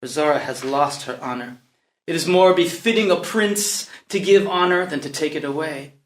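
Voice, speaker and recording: An actor playing a tormented, passionate young prince in a classical stage drama, declaiming and brooding between hushed lines and outcries. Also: male voice, a little high, moderate pace, close to an everyday voice. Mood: defiant resolve. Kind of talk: classical stage soliloquy, unrehearsed read. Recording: roomy echo around the voice.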